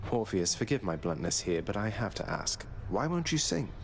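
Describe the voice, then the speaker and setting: A man's voice: lowish voice, big pitch swings, normal speed, creaky, slight background noise. A man voicing a young underworld prince in a video game, a defiant but good-hearted son with a wry, understated way of talking. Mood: friendly.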